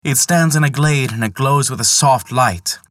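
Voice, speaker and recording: A man's voice: mid-range voice, moderate pace, dry recording, studio quality, voice pushed up, natural voice. A man voicing a sly male trickster god who pleads desperately and coaxes eagerly in a retold myth. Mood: wheedling. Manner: pleading, wheedling, excitable.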